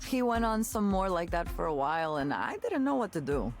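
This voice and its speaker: A jaded, outspoken woman in a visual novel, talking like a friend dishing on a bad boss. Her voice sits low but moves around a lot, sardonic and naturally conversational. Music plays behind her. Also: a little fast. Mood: incredulous, disgusted. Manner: conversational, sardonic, expressive with disgusted asides. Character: candid, jaded woman ex-tech worker telling a wry story.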